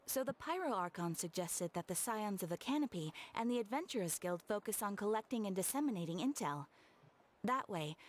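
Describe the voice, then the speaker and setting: A woman's voice: a little high, slight background noise, lightly acted. A woman voicing a courteous, composed guild receptionist in a video game, explaining things politely and reassuringly.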